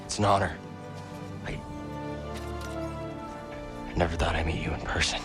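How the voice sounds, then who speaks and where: A man's voice: deep voice, fairly even intonation, normal speed, music in the background, lightly acted. A young man playing an earnest, wry Jedi hero in video game dialogue, understated and informal. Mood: respectful.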